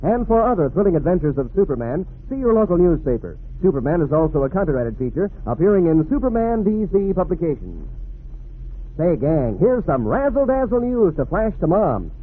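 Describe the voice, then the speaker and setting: A man's voice: on the high side, very animated, brisk, a little hiss or hum. A man working as a 1940s radio announcer, selling to children in a folksy, enthusiastic way. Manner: folksy, peppy, enthusiastic salesmanship. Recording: narrow-band vintage radio recording.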